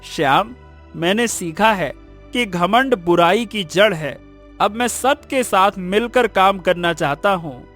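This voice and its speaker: Man voicing a high-pitched earnest village boy. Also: lively intonation, takes it slowly, fairly bright tone, soft background music, exaggerated voice. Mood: humble, sincere. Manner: earnest, emphatic, humble. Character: earnest, repentant village schoolboy, humble and grateful. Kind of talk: animated moral story dubbing.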